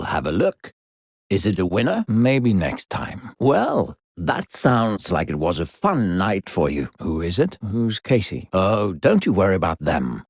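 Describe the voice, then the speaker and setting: A man playing a sinister, coaxing disembodied narrator who guides a child in a video game, gravelly, slow, theatrical and condescending. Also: darkish tone, no echo, clean studio sound, full character voice. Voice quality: deep, gravelly. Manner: smooth-talking, condescending, theatrical, slow and deliberate.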